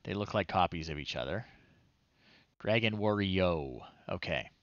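A man's voice: low voice, expressive, measured pace, fairly bright tone, dry recording, dull audio. A man in his thirties giving casual livestream commentary, thinking aloud and muttering in short phrases as he goes. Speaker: livestream host. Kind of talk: casual livestream commentary while trying old software. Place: small room indoors at home.

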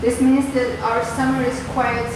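A woman's voice: fairly high pitch, level tone, measured pace. A woman in her twenties with a Hungarian accent, giving an informal, slightly hesitant presentation to an audience in a small café. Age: 20s. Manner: hesitant, searching for words, pointing at slides. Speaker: guest speaker. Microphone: handheld mic, filmed from across the room. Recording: very echoey room, far from the mic, loud background noise.

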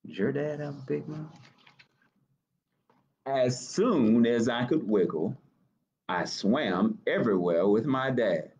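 A middle-aged American man reading a picture book aloud to children, expressively, pausing to ask questions. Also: mid-range voice, darkish tone. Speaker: library storytime reader. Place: small office, indoors.

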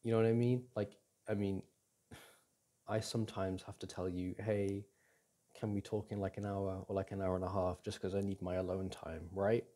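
A young man playing a caring, reassuring boyfriend in an intimate ASMR roleplay, speaking gently and haltingly with sighs and restarts. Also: deep voice, flat delivery, close to an everyday voice. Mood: relaxed, reflective. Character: caring, reassuring boyfriend comforting his upset partner. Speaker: audio roleplay performer.

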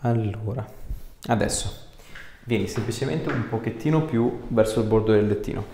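A man's voice: lowish voice, moderate pace, creaky, warm tone. A man, a chiropractor casually instructing and reassuring a patient. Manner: reassuring, informal. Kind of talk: casual hands-on instruction to a patient during treatment. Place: small treatment room, indoors. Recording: echoey small room, background noise.

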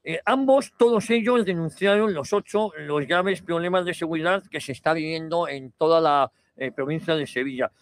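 Halting, higher-voiced Spanish radio host. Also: male voice. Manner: halting, many fillers and restarts. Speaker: radio host interviewing candidates. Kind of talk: radio interview. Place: outdoor terrace, large round table. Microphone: desk microphone on stand.

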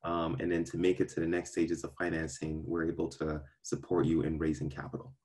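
Very deep-voiced young man, earnest. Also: level tone, darkish tone. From born in Ghana, raised in Brooklyn. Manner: earnest, measured, many ums and repeated starts. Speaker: venture capital partner, guest. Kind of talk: casual interview answers. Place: outdoors in a park. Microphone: video call webcam.

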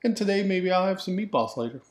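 A man's voice: sits high, expressive, quick pace. Easygoing middle-aged man rambling. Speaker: vlog host. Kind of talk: casual chat. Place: living room, on a couch. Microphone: camera in front.

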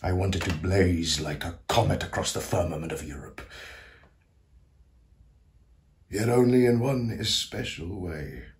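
An actor playing an aged, grandiose Italian composer near death, confiding in a theatrical stage monologue with a creaky voice and self-mocking manner. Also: male voice, low voice, moderate pace. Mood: fervent longing. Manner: theatrical, declamatory, swinging to hushed asides.

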